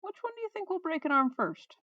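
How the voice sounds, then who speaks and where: A storybook warrior woman, played by a female narrator, sardonic and quick to irritation. She delivers dry threats with lively swings of pitch. The sound is a little dull and lo-fi. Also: no echo. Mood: amused.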